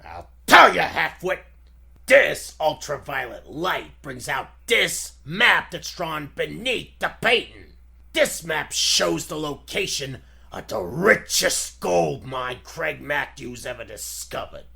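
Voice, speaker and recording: Gravelly, forceful gangster boss. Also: male voice, fairly high pitch, sing-song, slow pace, heavily acted. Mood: gloating. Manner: tough slangy mobster talk, insulting. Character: gruff bullying gangster boss, crooked and impatient. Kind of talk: 1940s radio acting.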